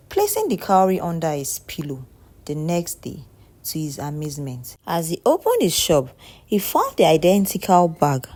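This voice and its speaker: Low-voiced woman narrating a folktale. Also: darkish tone. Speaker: storyteller.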